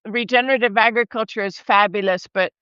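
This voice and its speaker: A woman in her forties with a bright, crisp voice tells her story in a chatty way and laughs often. She grew up in Argentina and Brazil and speaks on a live video call. The sound is dry and a little dull. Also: medium pitch, steady tone, fast, dry recording. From born in Argentina, raised in Brazil. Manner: warm, chatty, laughs often, frequent restarts. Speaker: regenerative rancher and holistic management educator. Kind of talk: casual conversational storytelling. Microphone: video call microphone.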